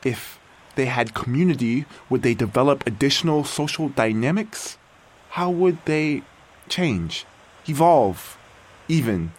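A man's voice: mid-range voice, expressive, measured pace. A man playing an aging artificial intelligence, a doting creator-parent musing with theatrical wonder in an audio drama monologue. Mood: proud, halting. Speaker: narrator and lead character. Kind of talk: dramatic first-person audio fiction monologue.

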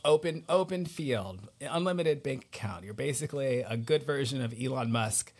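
Guy podcast host, mid pitched voice, chatty and playful, kinda thinking out loud. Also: normal speed, breathy edge. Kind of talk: casual interview chat.

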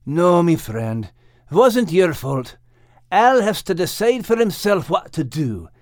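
Storybook dog played by a woman: earnest, loyal fellow, folksy, higher voice with lively intonation. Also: slow pace, dry recording, studio quality. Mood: somber. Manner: hearty, folksy wording. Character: loyal, encouraging male dog, earnest friend.

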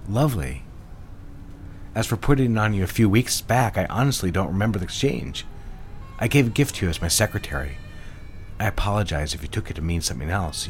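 A man acts out a smooth advertising boss in an old-fashioned office drama. His low voice is assured, teasing and condescending, the sound of someone used to being obeyed. Light music sits behind him. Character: smooth, patronizing male ad executive boss. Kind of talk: period office audio drama acting.

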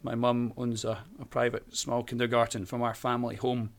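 A man's voice: medium pitch, completely flat delivery, normal speed. Talkative Scottish man, friendly. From Scotland. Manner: friendly, talkative, frequent fillers and restarts. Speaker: primary school teacher. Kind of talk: conversational interview.

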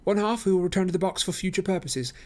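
Guy doing a super keen genius detective, voice way up high, excited and sure of himself. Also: fast talker, close to an everyday voice. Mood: brisk, confident. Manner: excited, exclamatory, raised high voice. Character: brilliant eager male detective, triumphant.

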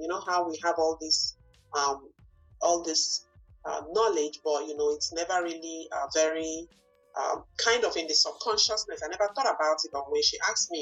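A woman from Nigeria with a Nigerian accent, whose first language is not English, answering interview questions and often pausing and restarting to find her words. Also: sits low, husky, dull audio. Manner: searching for words, frequent fillers and restarts. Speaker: guest, lawyer and business owner.

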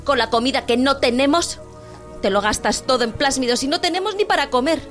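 High-pitched, sharp-tongued weary working-class wife voiced by actress. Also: female voice, brisk, soft background music. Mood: indignant, bitter. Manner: reproachful, sarcastic. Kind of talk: dramatic audio drama acting in Spanish.

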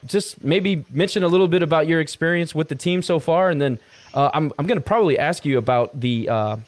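Higher-pitched male podcast host, dry close sound. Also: fairly quick, no echo. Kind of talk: casual podcast interviewing.

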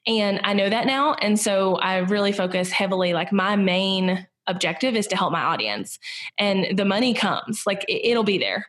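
A woman's voice: medium pitch, normal speed, a bit bright. Southern US woman in her thirties, mid-range voice, chatty interview guest who rambles and fills with "like" and "you know". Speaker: podcast guest, business owner. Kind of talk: casual conversational interview.

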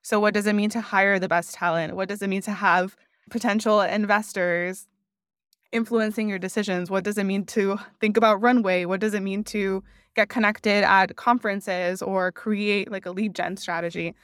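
A woman's voice: mid-range voice, fairly even intonation, normal speed, a bit bright, clear, resonant tone, no echo, clean studio sound. A woman from Miami, a podcast guest, talking in a reflective, earnest way and often saying "like". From Miami, United States. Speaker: podcast guest, customer success leader. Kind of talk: conversational interview.

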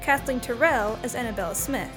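A woman delivers a scripted announcement. Her pitch is on the high side, with clear instrumental music behind her. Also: brisk. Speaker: credits announcer.

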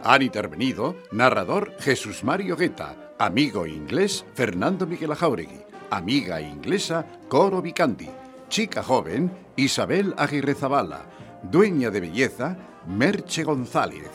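A man's voice: mid-range voice, huge pitch swings, unhurried. A man reading scripted closing credits as a formal radio drama announcer. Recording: loud music behind the voice.

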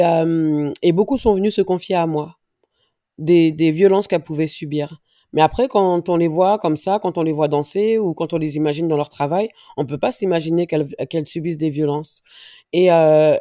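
A woman from France speaks as an interview guest, and her voice sounds mellow and warm. She talks haltingly, with frequent restarts while she searches for the right words. The recording is dry and close. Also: sits low, no room sound. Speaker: interview guest, dance teacher. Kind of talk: personal testimony in an interview.

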